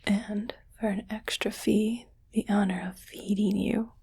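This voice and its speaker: A woman voicing a greedy, rich female alien collector in an ASMR roleplay, whispering softly and deliberately with a coolly appraising, possessive manner. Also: medium pitch, little pitch movement, exaggerated voice. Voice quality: soft, breathy whisper. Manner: slow, deliberate, pausing between short phrases, coolly appraising.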